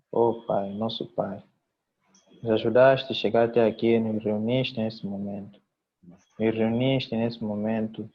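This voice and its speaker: A man leading a solemn spoken prayer, building it from repeated parallel phrases. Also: fairly low pitch. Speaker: congregation member leading prayer. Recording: dull lo-fi livestream audio.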